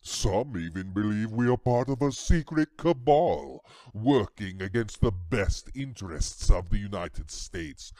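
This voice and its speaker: A man voicing an evil, proud elite banker in a creaky voice, reading a quote slowly and deliberately with smug menace. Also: on the low side, animated, dark tone, dry recording, studio quality. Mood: smug, sinister. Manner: slow, deliberate, gloating with villainous laughs. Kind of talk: mock-villain reading of a quote.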